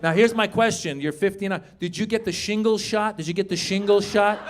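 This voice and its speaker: A man in his fifties works a comedy club crowd on a handheld mic. His voice sits high for a man, and he talks loud and animated, swearing freely. A faint hum of club noise hangs under the voice. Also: brisk. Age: 50s. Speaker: stand-up comedian. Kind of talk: stand-up comedy set with crowd work. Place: comedy club stage before a live audience.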